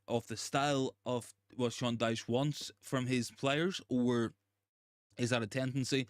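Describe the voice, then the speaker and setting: A young man with a hoarse, gravelly voice, still worn from a virus. He hosts a relaxed livestream, stumbling into restarts and repeating phrases between ums. His voice sits at an ordinary pitch and sounds dry and close. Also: dry recording. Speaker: livestream host. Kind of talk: casual live chat reading comments.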